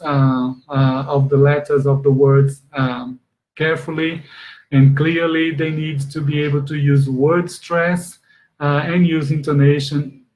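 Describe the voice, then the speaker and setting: A man's voice: on the high side, darkish tone, low-quality recording. A middle-aged man whose first language is Portuguese, presenting an online teacher webinar over a webcam from a home office, explaining steadily with many fillers. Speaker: exam trainer. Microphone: webcam video call.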